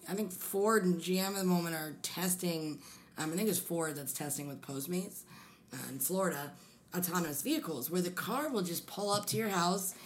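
A young woman from Pennsylvania with a low voice, co-hosting a casual chat and telling stories with frequent laughter. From Pennsylvania, USA. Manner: chatty storyteller, laughs often. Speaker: podcast co-host.

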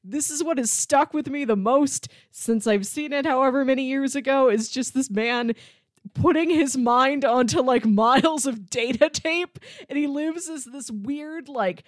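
A woman's voice: high-pitched, a little slow, no room sound. Woman podcaster in a dry, close room. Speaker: podcast co-host debating films. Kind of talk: casual film discussion.

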